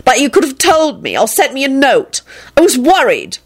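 Fairly high young woman, played by a narrator, earnest, emotional, soft-hearted, stubborn, audiobook character. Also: wildly swooping pitch, normal speed. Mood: hurt.

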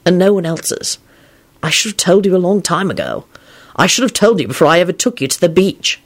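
A haunted young wizard from a storybook, played by a woman reader, grim and earnest. He sounds stubborn and protective, in a fairly low register for a female voice. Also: expressive, fairly quick. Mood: stubborn, resolute.

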